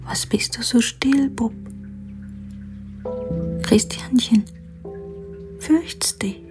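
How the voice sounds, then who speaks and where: An actress playing a poor, earthy young mother, restless and defiant, in a radio play with drawn-out, half-sung murmuring. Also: medium pitch, darkish tone. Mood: anxious. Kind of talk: German-language radio play acting. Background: faint music.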